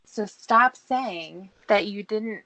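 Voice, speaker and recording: A woman's voice: medium pitch, takes it slowly, pushed and bright, dry recording, poor audio. A woman questioning someone in a pressing, accusatory and insistent manner. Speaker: interrogator. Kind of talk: confrontational questioning.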